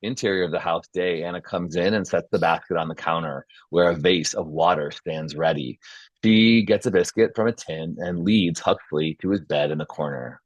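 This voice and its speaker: Low-voiced man hosting a table read. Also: moderate pace, no room sound, dull audio. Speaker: host and stage-direction reader. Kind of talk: informal hosting and script narration. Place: video call.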